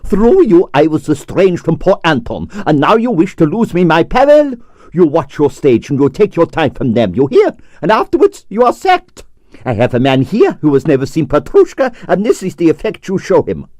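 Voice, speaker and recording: A man voicing an excitable older ballet impresario who exclaims theatrically. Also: on the high side, moderate pace, no room sound, voice pushed up. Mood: scolding. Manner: theatrical, exclamatory, broken grammar.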